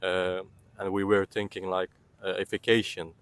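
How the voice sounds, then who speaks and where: A young man from the Netherlands answers a street interviewer in a lowish voice. He hesitates often and fills gaps with "uh" and "like." The sound is dry and close. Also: little pitch movement, normal speed, clear, resonant tone, no room sound. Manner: hesitant, many fillers. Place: outdoors on a street.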